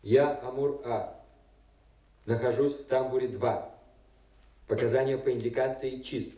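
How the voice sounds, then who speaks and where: An actor playing a composed, dutiful soldier in a Soviet radio play, giving clipped, matter-of-fact field reports. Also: male voice, mid-range voice, steady tone, unhurried, a little hiss or hum, natural voice. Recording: distant, echoey, loud music behind.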